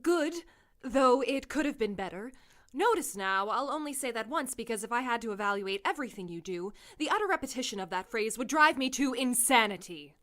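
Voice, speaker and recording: A woman's voice: fairly high pitch, expressive, a little fast, fairly bright tone. A woman playing an imperious mayor in an audio drama, fussy about manners, precise and lecturing.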